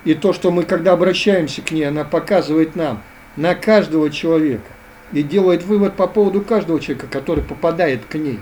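Man, earnest lecturing guest, warm relaxed tone, slight background hiss. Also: on the high side, fast. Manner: earnest, lecturing, repetitive phrasing. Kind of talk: philosophical interview talk.

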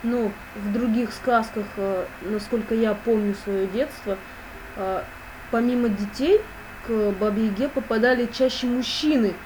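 A woman's voice: fairly high pitch, darkish tone, slight background noise, low-quality recording. A woman hosting an interview, putting brief questions and prompts to her guest. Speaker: host.

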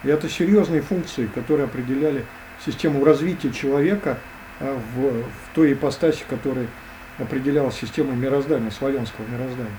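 Man, interview guest, mellow tone, pauses and fillers, slight background hiss. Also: medium pitch, rough recording. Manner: hesitant, many drawn-out fillers. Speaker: guest expert. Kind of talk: conversational interview.

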